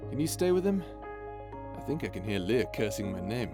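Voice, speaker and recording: A man's voice: on the low side, big pitch swings, fairly quick. Guy doing a space pirate captain, caring and wry, teasing but reassuring, music playing behind him. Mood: brisk, worried. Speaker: voice actor in audio roleplay. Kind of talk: ASMR audio drama roleplay. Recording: music under the voice.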